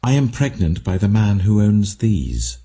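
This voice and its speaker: A British man voicing a bold, shrewd young widow in an audio Bible story, brisk and direct. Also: on the low side, very animated, dark tone, pitched above the performer's own voice. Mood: firm.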